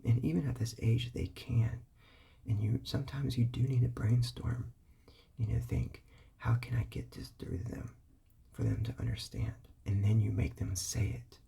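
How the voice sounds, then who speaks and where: A man whispering calm, patient parenting advice, repeating his points as he explains. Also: medium pitch, moderate pace. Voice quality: whispery, breathy, gravelly.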